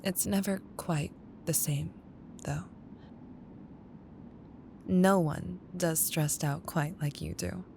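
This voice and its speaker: Woman acting as caring young female best friend, lowish voice, slow and tender, teasing, wistful, ASMR roleplay, rumbly background noise. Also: fairly even intonation, gravelly, dark tone. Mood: fond teasing.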